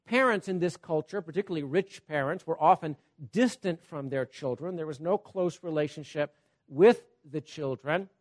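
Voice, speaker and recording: Man, high voice, preacher, sermon, animated, loud and soft swings. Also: moderate pace. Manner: animated, wide swings between loud emphasis and soft asides; repeats "Okay?" to check on listeners.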